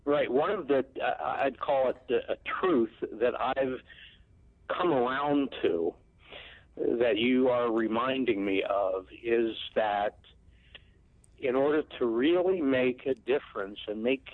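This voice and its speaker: Male radio host, very slow and reflective, with a tinny, phone-like sound. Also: medium pitch, no echo. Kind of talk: radio talk show interview.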